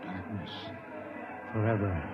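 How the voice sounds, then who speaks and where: A man's voice: deep voice, takes it slowly. An actor playing a horror-struck man raving at the end of his world in a 1950s radio drama, anguished and incantatory. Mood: hushed dread. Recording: dull radio recording, loud music and crowd.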